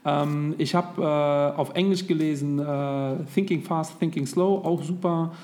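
A man in his thirties co-hosting a casual podcast, talking loosely and filling pauses with lots of hesitations. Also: fairly high pitch, fairly even intonation, warm tone. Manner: chatty, with many hesitations. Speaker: podcast co-host.

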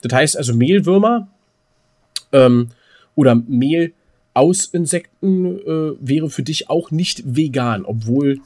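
Male podcast co-host, fairly high-pitched, colloquial and animated, teasing conversational delivery. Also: takes it slowly. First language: German. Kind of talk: casual chat.

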